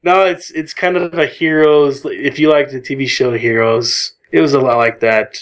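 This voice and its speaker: A man's voice: medium pitch, fairly even intonation, moderate pace. A man on a podcast panel, chatting in a light, joking way and laughing often. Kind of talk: casual podcast chat.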